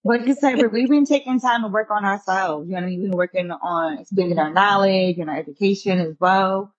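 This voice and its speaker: A woman's voice: mid-range voice, fast, dry recording, lo-fi sound. Easygoing woman podcast co-host. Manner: easygoing, says "you know" a lot. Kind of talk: casual podcast chat.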